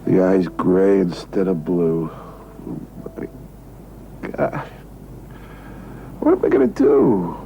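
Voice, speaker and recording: An actor playing an anxious middle-aged American gambler, muttering to himself in an agitated, scheming way in a television drama. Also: male voice, sits low, huge pitch swings, slow pace, vocal fry, pauses often, slight background noise, heavily acted, low-quality recording. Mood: despairing. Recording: loud music behind voice.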